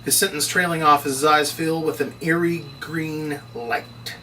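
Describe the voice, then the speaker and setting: A man's voice: on the high side, steady tone, measured pace, pushed and bright. A man reading scripted screenplay narration and stage directions at a table read. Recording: distant mic, faint background noise.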